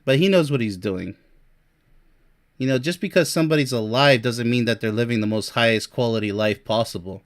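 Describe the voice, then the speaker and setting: A man's voice: mid-range voice, fairly quick. Forties male vlogger, mid-range voice, blunt and sarcastic, boastful, laughs at his own jabs. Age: 40s. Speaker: relationship coach and vlogger. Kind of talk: casual monologue reading from a list. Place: Europe.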